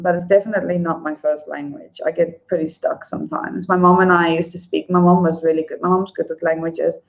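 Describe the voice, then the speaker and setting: South African woman, chatty and low-voiced. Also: gravelly, darkish tone, poor audio. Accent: South African English. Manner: rambling and conversational, with fillers and restarts; calls out viewers by name as she replies. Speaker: livestream host reading and answering viewer chat. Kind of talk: casual unscripted livestream chat.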